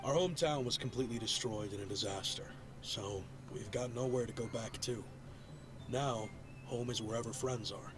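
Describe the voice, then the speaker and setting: A man's voice: medium pitch, normal speed, music in the background, close to an everyday voice. A man voicing a sincere, nostalgic young townsman in a video game, earnest and sentimental. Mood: resigned, warm.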